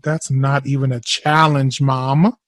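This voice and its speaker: An actor playing a witty, teasing young jazz lover in a naturalistic audio drama. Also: male voice, medium pitch, little pitch movement, slow, a bit bright. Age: young adult.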